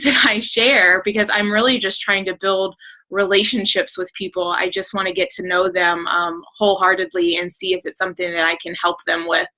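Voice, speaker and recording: A woman's voice: medium pitch, bright, forceful tone, rough recording. A woman hosting a casual team call as a coach, upbeat and encouraging, with plenty of fillers as she talks.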